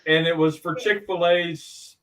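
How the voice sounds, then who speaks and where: A man's voice: on the high side, fairly even intonation, measured pace, fairly bright tone. A man co-hosting a podcast, sharing cooking anecdotes and joking with the guest. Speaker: podcast co-host. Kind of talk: casual podcast chat.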